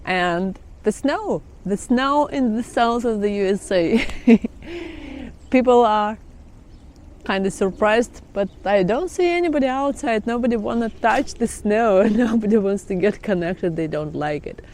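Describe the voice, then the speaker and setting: A woman's voice: medium pitch, lively intonation, measured pace, darkish tone, slight background noise. A middle-aged woman with a Russian accent, chatting casually in a vlog, often laughing and phrasing things like a non-native speaker. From Kazakhstan. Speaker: vlogger. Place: outdoors by trees in melting ice.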